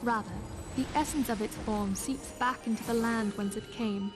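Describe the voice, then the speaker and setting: Game leader, played by a woman: composed, authoritative, scholarly, lightly high voice, loud music beneath. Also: normal speed, natural voice. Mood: measured, explanatory. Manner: formal, explanatory, assured. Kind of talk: theatrical fantasy game dialogue.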